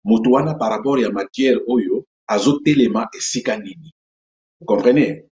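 A Congolese man hosting his own channel, explaining step by step in an unhurried way with many pauses and fillers. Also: lowish voice, warm tone. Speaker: YouTube channel host. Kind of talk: explanatory talk to camera. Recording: echoey room, dull lo-fi sound.

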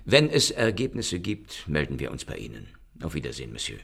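An actor playing a composed, formal police inspector in a radio play. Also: male voice, rumbling low, wildly swooping pitch, a little fast, vocal fry, fairly bright tone, breathy edge, exaggerated voice. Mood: businesslike. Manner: formal, businesslike.

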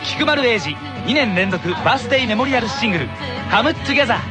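A woman's voice: fairly high pitch, lively intonation, fast talker, music in the background. A woman announcer reading a scripted radio advert for a record release.

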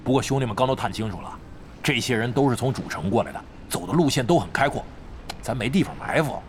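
An actor playing a brash, foul-mouthed veteran gamer and gang leader in a Chinese audio drama, blustering and grumbling. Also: male voice, mid-range voice, animated, fast talker, slight background noise, natural voice. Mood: gruff planning. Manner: blustery, grumbling, swears freely.

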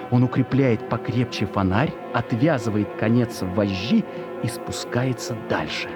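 A man narrating a story for an audio production, expressive and dramatic, with deliberate pauses. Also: medium pitch. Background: music.

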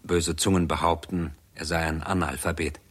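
A man giving sober, measured scripted narration as the narrator of a 1960s German radio drama. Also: rumbling low, flat delivery, husky.

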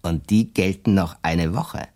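An actor playing a shrewd, self-assured defence lawyer in a radio play, explaining his schemes deliberately and slyly. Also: deep voice, a little mellow. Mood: confident, sly. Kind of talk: German radio drama dialogue.

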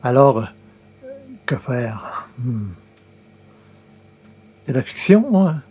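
An actor playing a grumbling, playful newspaper columnist with theatrical, hesitant asides, in the style of 1940s radio comedy. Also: male voice, mid-range voice, big pitch swings, extremely slow, darkish tone, husky, exaggerated voice. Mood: dismayed.

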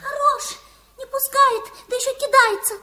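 A woman playing an indignant girl turned talking magpie in a Soviet radio play, with a shrill, squeaky voice. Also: steady tone, normal speed, sharp tone, a little hiss or hum. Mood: indignant scolding. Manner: indignant, scolding.

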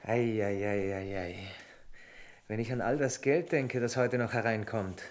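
An actor playing a cheeky, daydreaming young bank apprentice in a German radio play, chatty and flippant. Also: male voice, fairly low pitch, measured pace, lightly acted. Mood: dreamy, cheeky.

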